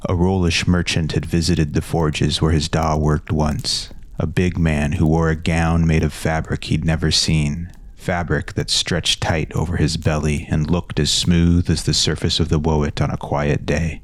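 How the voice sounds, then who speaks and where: A man reads scripted fantasy narration in an exceptionally deep voice. The delivery is measured, descriptive and dramatic. The recording is dry. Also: level tone, no echo.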